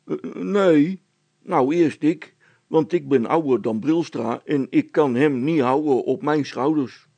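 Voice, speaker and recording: A man voicing a slow-witted older village constable in a story, hesitant, stammering and complaining. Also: fairly high pitch, dark tone, slightly rough, dry recording, lo-fi sound, voice pushed down. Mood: protesting. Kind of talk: dramatised story reading.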